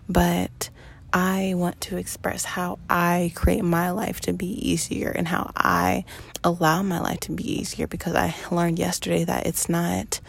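Early-twenties woman, podcast host, lowish raspy voice, earnest personal monologue. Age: 20s. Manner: slow, earnest, with pauses and fillers.

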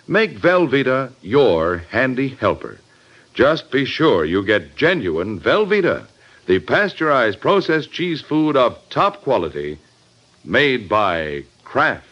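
A man's voice: medium pitch, a bit bright. A man announcing scripted 1950s radio ads and appeals in an emphatic, polished style. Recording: dull, band-limited old radio recording.

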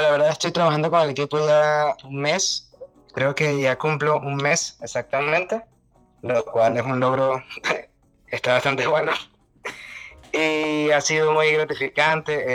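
A man's voice: mid-range voice, fairly bright tone, clean tone. A man who is a community manager, talking casually and easily as a guest. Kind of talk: casual interview talk.

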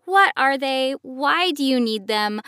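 A woman's voice: sits high, animated, takes it slowly, bright, forceful tone, clean tone, no echo, clean studio sound. A woman co-hosting a podcast in a conversational style. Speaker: podcast co-host, voice acting professional.

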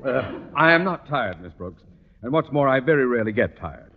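An actor playing a pompous, blustering middle-aged school principal in old radio comedy style, sarcastic and theatrical. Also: male voice, medium pitch, big pitch swings, a little fast, slight background noise. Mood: pompous, boastful. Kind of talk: 1940s-50s radio comedy acting. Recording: muffled old-time radio recording.

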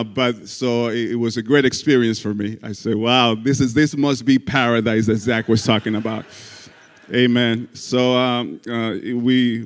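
A male guest pastor from the Dominican Republic, preaching a folksy sermon with jokes and frequent amens. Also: mid-range voice. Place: church sanctuary. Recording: church hall reverb.

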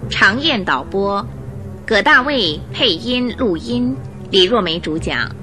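A woman narrating a radio drama in an expressive, measured, scripted storytelling style. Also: mid-range voice, music in the background.

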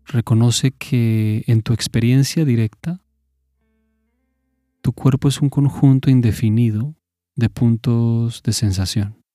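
A man leading a guided mindfulness meditation in a calm, measured, instructive manner. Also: medium pitch, dry recording, studio quality, soft background music.

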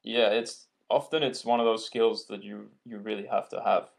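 A man with a Yorkshire accent, a guest speaking frankly and relaxed in a casual interview. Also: lowish voice, moderate pace, gravelly. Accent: Yorkshire English. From Yorkshire, England.

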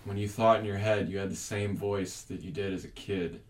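A man's voice: low-pitched, fairly even intonation, moderate pace, recorded from a distance. A young man in casual conversation, rambling and arguing his points with plenty of profanity. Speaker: podcast host. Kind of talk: casual chat.

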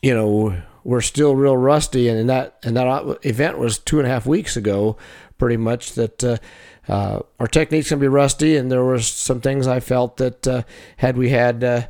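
A man, a wrestling coach, talking over the season in a casual, halting way, full of fillers and restarts. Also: mid-range voice, steady tone, a little mellow. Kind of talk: casual chat.

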